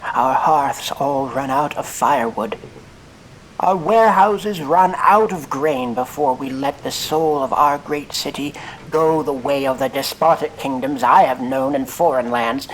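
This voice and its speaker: An actor playing a celebrated explorer in a fantasy audio drama, giving grandiose, halting, theatrical speeches to an adoring crowd. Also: male voice, on the high side, a little hiss or hum, natural voice, rough recording.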